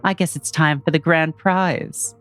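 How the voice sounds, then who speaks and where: A woman's voice: deep voice, fairly even intonation, a little slow, a bit bright, faint music behind. A woman playing a gleefully evil villainess in an audio drama, mocking and self-assured. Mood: gloating menace. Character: gleefully evil, taunting villainess, demented and menacing.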